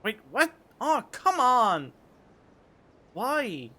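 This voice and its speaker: A man giving playful, theatrical gameplay commentary into a close microphone, jokey and excitable in his reactions. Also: very high-pitched, drawn-out words. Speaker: streamer playing a game. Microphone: close studio microphone. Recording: game music behind the voice.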